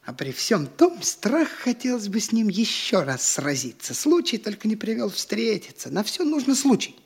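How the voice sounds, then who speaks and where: An actor playing a boastful, whining, penniless young dandy in an audio drama, theatrical and excitable, by turns wheedling and petulant. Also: male voice, sits high, moderate pace, husky, exaggerated voice. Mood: hungry, grumbling.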